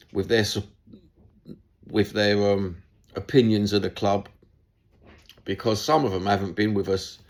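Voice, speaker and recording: A man's voice: lowish voice, a little mellow. A middle-aged man with a Cockney London accent, chatting bluntly and rambling in a casual monologue about football, swearing now and then. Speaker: football YouTuber.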